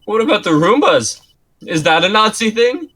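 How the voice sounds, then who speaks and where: A man's voice: sits high, big pitch swings, normal speed, bright, forceful tone, pitched above the performer's own voice, exaggerated voice. A man playing a panicky, exasperated trapped coworker, blunt, agitated and foul-mouthed. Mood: alarmed.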